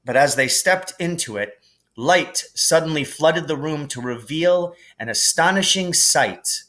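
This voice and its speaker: A man reading a storybook aloud as an expressive narrator, in a measured, deliberate storytelling manner. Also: a little high. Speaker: teacher reading a novel aloud.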